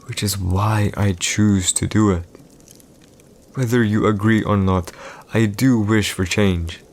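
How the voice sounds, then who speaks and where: A man's voice: lowish voice, fairly even intonation, slow pace, darkish tone. A man playing a haughty, teasing villain emperor in an ASMR audio roleplay, with formal, condescending, old-fashioned diction. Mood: earnest, solemn.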